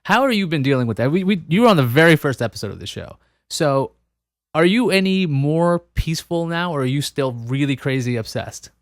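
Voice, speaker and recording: A man's voice: on the high side, lively intonation, brisk, dry recording, clean studio sound. A man hosting a podcast interview, musing and thinking aloud with frequent restarts. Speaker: podcast host. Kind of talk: casual interview conversation.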